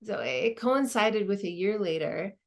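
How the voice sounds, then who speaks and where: A woman's voice: mid-range voice, big pitch swings, a little slow. An actress playing a modest woman law school dean, giving a reflective, conversational monologue with small hesitations. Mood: appreciative, reflective. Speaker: performer of a dramatized narrative.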